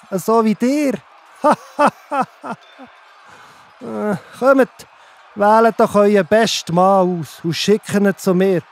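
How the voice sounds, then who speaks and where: A man's voice: high-pitched, gravelly, full character voice. A man with a Swiss German accent playing a boastful giant Philistine warrior who taunts and mocks in a children's audio drama. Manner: taunting, drawn-out, with scornful laughs.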